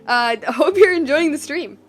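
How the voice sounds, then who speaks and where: A woman's voice: high-pitched, animated, normal speed. A woman streamer giving casual live reactions while she plays a game. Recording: game music faintly behind.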